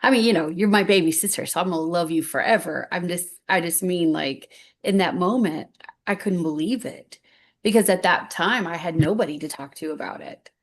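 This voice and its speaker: A woman in her fifties speaks with a somewhat low voice, earnest and rambling. She tells a personal story on stage and often restarts her sentences. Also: brisk. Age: 50s. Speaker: guest speaker.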